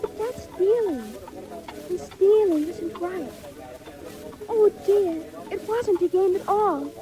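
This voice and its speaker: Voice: very high voice, animated, slow pace, exaggerated voice, rough recording. A performer playing an innocent young orphan boy, earnest and polite, in a 1940s audio drama. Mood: alarmed. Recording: old 1940s record.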